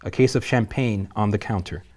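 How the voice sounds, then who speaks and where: Low-voiced man reading stage directions. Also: moderate pace. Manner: steady, matter-of-fact reading. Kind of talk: table read of a screenplay.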